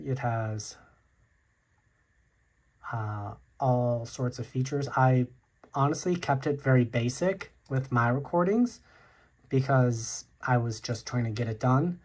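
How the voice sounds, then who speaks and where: A tech video host casually walking through a product tutorial in a hesitant, halting, informal way. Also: medium pitch, a little mellow.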